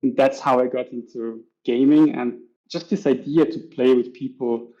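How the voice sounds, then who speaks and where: A man's voice: medium pitch, fairly even intonation, moderate pace, mellow, muffled recording. A man from Switzerland with a Swiss German accent, chatting as a podcast guest and acting out little scenes from his stories. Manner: chatty, acts out voices in stories. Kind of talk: casual podcast interview.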